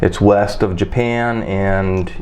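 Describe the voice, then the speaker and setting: A man hosts a question-and-answer interview, speaking slowly and plainly. He reads out each question and then repeats the guest's answers back in clear, simple English. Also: lowish voice, fairly even intonation, darkish tone, slight background noise. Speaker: interviewer, show host. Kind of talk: structured interview, textbook question format.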